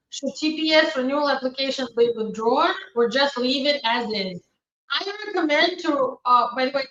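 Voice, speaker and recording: Brisk woman attorney in a roomy room. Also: high-pitched, bright, forceful tone, slight background noise. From Ukraine. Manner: brisk, matter-of-fact answers with frequent fillers. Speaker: immigration attorney hosting a live Q&A. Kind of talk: live-stream Q&A, reading viewer questions aloud. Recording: roomy, distant, dull live-stream audio.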